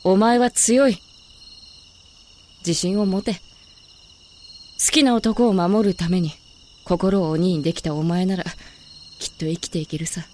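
Woman acting slow-speaking, tough protective warrior woman. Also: fairly low pitch, steady tone, darkish tone, slight background noise, lightly acted. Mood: gruff, tender. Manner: blunt, masculine phrasing. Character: tough, protective, self-sacrificing warrior woman with blunt speech. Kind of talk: Japanese voice drama acting.

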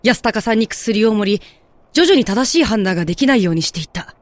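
Audio drama performance of a composed, gently guiding character played by an actor. The voice sits at a middle pitch and unfolds explanations in a measured way. The sound is close and dry. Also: animated, no echo, lightly acted. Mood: grave, explanatory. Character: composed explainer who gently guides a distressed girl. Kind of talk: Japanese audio drama acting.

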